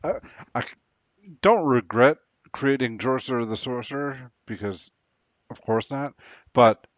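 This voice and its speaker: A man's voice: mid-range voice, slow pace, dry recording. Hesitant man, dull lo-fi sound. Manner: hesitant, stumbling starts. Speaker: podcast co-host. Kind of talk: casual chat. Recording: dull lo-fi dry recording.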